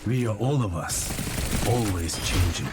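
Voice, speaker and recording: A man's voice: on the low side, lively intonation, measured pace. An actor playing an earnest, formal warrior who guards his master in a game. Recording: game audio with loud music.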